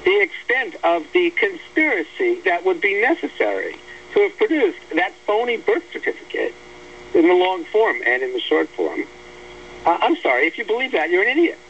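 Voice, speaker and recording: Blunt American man, slightly high voice, lo-fi. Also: very animated, moderate pace. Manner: blunt, emphatic, argumentative. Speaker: guest commentator discussing an article they wrote. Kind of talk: opinionated political radio discussion. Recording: dull lo-fi radio audio, faint hum.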